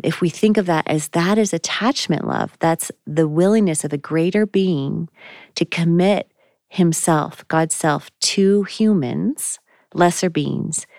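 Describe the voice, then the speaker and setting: A woman's voice: lowish voice, slow pace, darkish tone, no echo, clean studio sound. A woman co-hosting a faith podcast, talking in a thoughtful, searching way with frequent ums. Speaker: podcast co-host. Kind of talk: reflective faith conversation.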